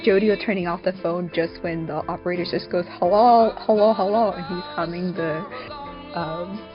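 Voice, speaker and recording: A woman's voice: fairly low pitch, fairly even intonation, moderate pace, dull audio, over music. A woman in casual podcast chat as a co-host, peppering her sentences with "like". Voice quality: frequent "like" as a filler. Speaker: podcast co-host, fandub producer.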